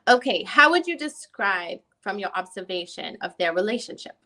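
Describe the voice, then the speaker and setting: A woman playing a methodical, probing lawyer who puts formal, deliberate questions in a dramatic deposition reading. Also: mid-range voice, sing-song, pushed and bright.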